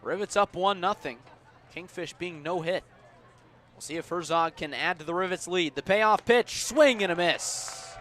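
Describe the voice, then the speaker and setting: Male radio baseball commentator, forceful bright tone, live play-by-play delivery, faint ballpark noise behind. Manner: measured between pitches, punchy and louder on plays. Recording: outdoor ballpark ambient noise.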